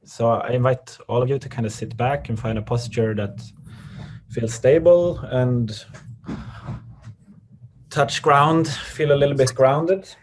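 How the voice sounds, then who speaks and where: A man with a Swedish accent calmly presenting an online workshop over a video call, with frequent pauses and ums. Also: mid-range voice, slight background noise. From Sweden. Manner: calm, measured, with frequent ums. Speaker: workshop facilitator.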